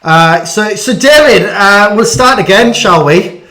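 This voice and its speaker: A man with a slightly high voice hosts a casual question-and-answer chat. He is chatty and self-deprecating and often trips over his words. The room around him is noticeably echoey. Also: lively intonation, unhurried, bright, forceful tone, slight background noise. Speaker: interviewer hosting a school bedtime story chat.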